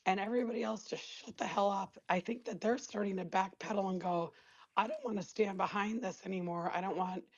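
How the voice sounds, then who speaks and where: A woman's voice: medium pitch, fairly even intonation, a little fast, muffled recording. A woman from Wisconsin calling into a live talk show, losing her voice, blunt and rambling. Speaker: caller.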